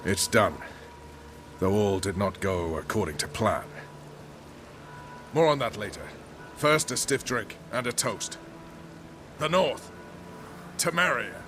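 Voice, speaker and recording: An actor playing a gruff, decisive soldier and loyal patriot in a fantasy video game, speaking emphatically. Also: male voice, fairly low pitch, slow, long pauses, music in the background. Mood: grimly satisfied. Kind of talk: dramatic video game voice acting.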